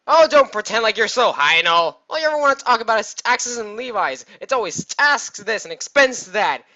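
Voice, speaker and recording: Female voice for a quarrelsome, mocking pony noble; bright, forceful tone, slightly lo-fi sound. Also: a little high, lively intonation, normal speed. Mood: mocking, combative. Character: snide, combative pony noble heckler. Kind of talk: cartoon fan fiction audio reading.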